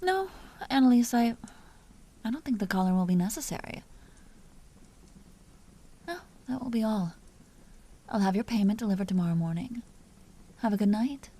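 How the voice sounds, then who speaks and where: A woman voicing a dominant, teasing vampire duchess in an intimate audio roleplay, often dropping into breathy whispers. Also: medium pitch, lively intonation, moderate pace, long pauses, dark tone, lightly acted. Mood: brisk. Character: dominant female vampire duchess, seductive, teasing, coolly imperious. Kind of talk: scripted audio roleplay, intimate monologue to listener.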